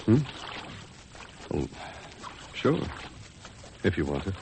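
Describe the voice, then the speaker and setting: Gruff tired man on a boat, fifties radio acting, halting and curt, noisy recording. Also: deep voice, big pitch swings, darkish tone, heavily acted. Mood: spent. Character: gruff, weary male boat passenger, curt but softening. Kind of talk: 1950s radio drama acting.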